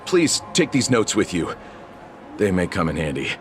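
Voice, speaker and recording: Deferential guard with animated pitch. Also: male voice, moderate pace, background noise. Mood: baffled, helpful. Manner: apologetic, hesitant. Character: deferential, weary male security guard, politely baffled. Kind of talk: video game voice acting.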